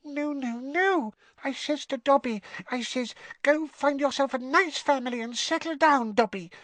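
Guy doing a teeny squeaky girl elf for a storybook, super high, meek and servile, voice all quivery. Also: moderate pace, a bit bright, no room sound. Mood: scolding, firm. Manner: meek, deferential, broken grammar. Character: timid, servile female house elf, tiny and anxious.

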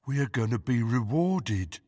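A man voicing an enormous, slow-witted teenage thug, defiant and rough in grammar, in an audiobook story. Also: on the high side, very animated, pushed and bright, dry recording, clean studio sound, full character voice. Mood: smug.

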